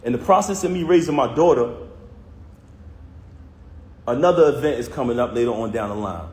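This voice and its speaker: A man speaks straight to his viewers, recounting a personal story in a heated, emphatic way with plenty of street slang. His voice sits at an ordinary male pitch. The room gives it a noticeable echo. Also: a little fast, darkish tone. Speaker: vlogger recounting a personal conflict. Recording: roomy echo.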